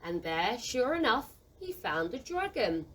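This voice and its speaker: A woman narrating a scripted children's story in an expressive, deliberate manner. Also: on the high side. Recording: faint background noise.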